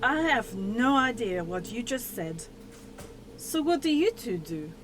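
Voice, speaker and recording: A woman playing a prim, puzzled newcomer with a deliberate, questioning manner in an amateur radio play. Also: on the high side, big pitch swings, fairly bright tone. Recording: faintly noisy amateur recording.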